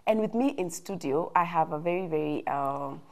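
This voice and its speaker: A woman from Kenya hosting a TV talk show in a studio, chatty and quick to affirm her guest. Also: fairly low pitch, a little mellow. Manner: chatty, frequent affirming fillers.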